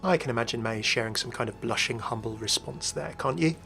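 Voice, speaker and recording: A man presents video game commentary in a medium-pitched voice with noticeable creak. He muses aloud and addresses viewers in conversational asides. Light music plays underneath. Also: brisk. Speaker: host of a video game lore channel. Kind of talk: scripted-sounding video commentary.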